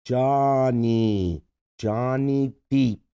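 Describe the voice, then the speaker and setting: A man's voice: medium pitch, extremely slow, dark tone, clean tone, muffled recording. A man playing a brash, bossy Italian-Cuban buddy in a comedy table read, scolding and exclaiming. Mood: urgent.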